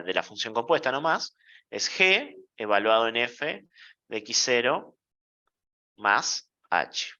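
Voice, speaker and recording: A male university lecturer talks through math slowly, in a playful manner, pausing to check in with the class. His voice is bright and rises and falls a lot. The classroom recording sounds dull and lo-fi. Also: medium pitch.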